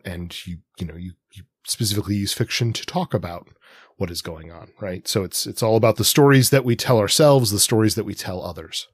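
A man's voice: fairly low pitch, slightly rough, dry recording. A man co-hosting a podcast in casual analytical discussion, thinking aloud and rephrasing as he goes. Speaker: podcast co-host.

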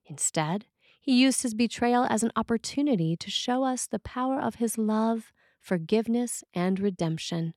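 Female sermon reader, measured and reflective, mid pitch, very dry close recording. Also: a little mellow, no echo. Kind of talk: scripted sermon reading.

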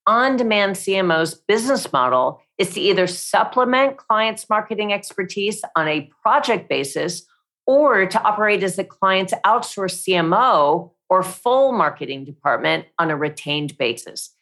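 A woman hosting an interview, reading a polished, effusive introduction at a measured pace. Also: medium pitch, expressive, a bit bright. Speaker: host.

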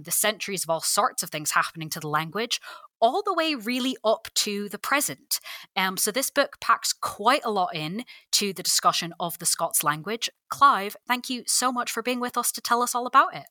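Woman podcast interviewer, bright and forward voice, articulate, keen, close dry sound. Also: mid-range voice, no room sound. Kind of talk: scripted podcast introduction and interview.

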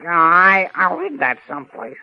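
Earnest, sheepish office boy, old-time radio drama. High, lively, hesitant, folksy. Dull vintage recording. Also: moderate pace. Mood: hesitant, uneasy. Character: naive, hesitant, earnest young male newspaper office boy. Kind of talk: 1940s-50s radio drama acting.

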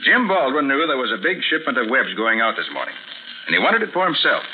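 An actor playing a shrewd, self-assured private detective in a 1940s radio drama. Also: male voice, mid-range voice, big pitch swings, fast, natural voice. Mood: explaining, assured. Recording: tinny, noisy 1940s radio recording.

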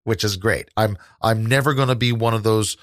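A man's voice: fairly low pitch, fairly even intonation, normal speed, dry recording, clean studio sound. A man, a guest in an interview, speaking thoughtfully and rambling with many fillers. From New York. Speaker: interview guest, New York tour guide. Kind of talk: casual interview conversation.

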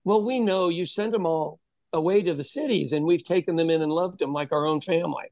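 Animated middle-aged man telling stories, dry sound. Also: a little high, a little fast, no room sound. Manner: animated storyteller, acts out quoted voices. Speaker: podcast host. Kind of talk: casual conversation.

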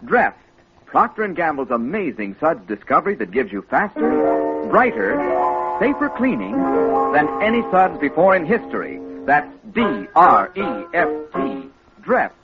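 A man with an American accent giving a scripted 1940s radio advertising pitch as a commercial announcer. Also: very animated, unhurried. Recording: muffled old radio recording with music.

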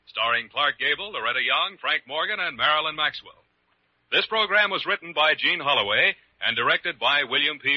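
A man's voice: a little high, dry recording. A man with an American accent doing polished, declamatory scripted announcing in a vintage radio style. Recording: muffled vintage radio, music behind.

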